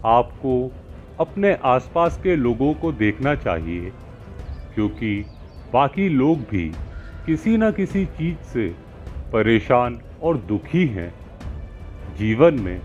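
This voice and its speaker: Man playing a slow, measured wise holy man. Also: medium pitch, a little mellow. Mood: counselling, instructive. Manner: measured, didactic, deliberate pauses. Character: calm, wise village holy man giving counsel. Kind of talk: acted moral-story dialogue. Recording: muffled lo-fi with music behind.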